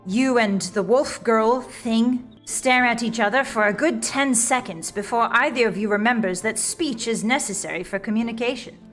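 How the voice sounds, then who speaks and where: A woman's voice: fairly high pitch, normal speed, hardly any pauses, soft background music. A woman narrating a comic visual novel in a wry, arch, mock-grand storyteller's manner.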